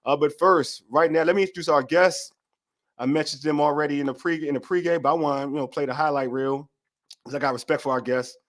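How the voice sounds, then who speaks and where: Hoarse man hosting a sports podcast. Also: on the high side, steady tone, fast. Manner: loose, repeats words, slangy and friendly. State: hoarse from a cold. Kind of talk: casual sports talk show.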